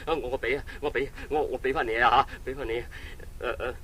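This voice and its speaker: 一個男演員喺兒童廣播劇入面，用香港廣東話演一個貪心又怕死嘅客棧老闆，捱打之後口吃吃咁哀求。. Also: male voice, medium pitch, steady tone, quick pace, fairly bright tone, close to an everyday voice. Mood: begging. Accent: Hong Kong Cantonese. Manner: stammering, pleading. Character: greedy, cowardly innkeeper, beaten and whining. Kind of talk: Cantonese children's radio drama. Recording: noisy old audio cassette.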